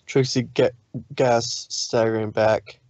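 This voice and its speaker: A man's voice: medium pitch, level tone, takes it slowly, a little mellow, dry recording, muffled recording. A man narrating fan fiction in a dramatic storytelling way, sometimes stumbling over his words.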